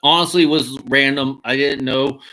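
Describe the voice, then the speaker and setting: A man in his thirties or forties, excitable, chatting casually as he shows off hats from his collection and keeps calling them awesome. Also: medium pitch, normal speed, low-quality recording. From Mexican heritage. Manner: excitable, swears casually, repeats "freaking awesome". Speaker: hat collector vlogger. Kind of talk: casual unboxing chat.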